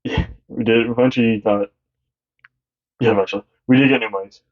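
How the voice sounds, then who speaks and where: A young man with a deep voice co-hosting a podcast in casual chat, rambling and halting with many fillers. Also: steady tone, highs cut off. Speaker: podcast co-host.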